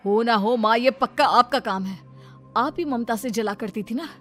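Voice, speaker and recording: A stern, protective young husband from a cartoon story, voiced by a woman. He sounds firm and accusing, in a high voice. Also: normal speed, a bit bright, soft background music.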